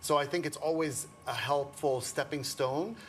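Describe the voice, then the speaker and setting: A man whose first language is Arabic, a fashion designer guest on a morning TV interview, relaxed and chatty and quick to laugh. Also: on the high side. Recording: TV studio with faint background noise.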